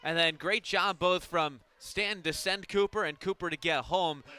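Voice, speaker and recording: A man giving conversational, explanatory color commentary on a live softball radio broadcast. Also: high-pitched, normal speed, clean tone.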